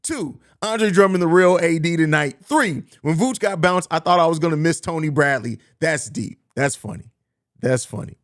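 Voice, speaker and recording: A man hosting a sports podcast live stream, reading viewer comments aloud and reacting to them in a relaxed, conversational way. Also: fairly high pitch, moderate pace.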